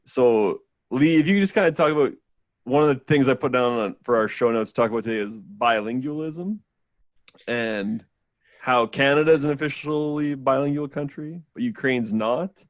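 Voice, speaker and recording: A man hosts a casual podcast in a loose, relaxed way, using plenty of fillers as he steers the talk. His voice is mid-pitched and sounds close and dry, with no room echo. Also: moderate pace. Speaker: podcast host.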